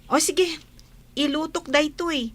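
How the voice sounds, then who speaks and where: Casting: actress as friendly, sweet young farm woman, Ilocano accent, high voice, radio drama. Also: steady tone, unhurried. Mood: cheerful, grateful. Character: sweet, grateful young village woman picking beans.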